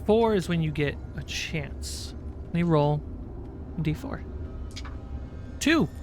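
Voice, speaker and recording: A man with a fairly high voice runs a tabletop game as game master. He talks slowly, in short halting phrases, with faint noise behind him. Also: expressive. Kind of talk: improvised tabletop game narration. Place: indoor livestream.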